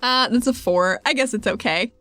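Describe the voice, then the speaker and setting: A woman plays a tabletop role-playing game with a slightly high voice. She is playful and casual as she narrates her character, with faint music underneath. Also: normal speed, fairly bright tone.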